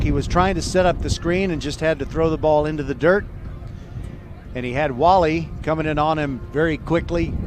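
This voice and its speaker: A man calling live high school football play by play, describing each play in detail. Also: noisy background. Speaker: play-by-play sports announcer.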